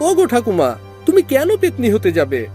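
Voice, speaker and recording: Animated, earnest young boy. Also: on the high side, fairly quick, over music. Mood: wondering. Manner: respectful, wondering, childlike. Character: polite, earnest young boy, older brother. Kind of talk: children's cartoon dialogue.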